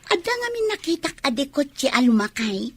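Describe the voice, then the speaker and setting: A woman's voice: high voice, very animated, moderate pace. An actress playing a scheming, brash poor young woman in a radio drama, with an Ilocano Filipino accent.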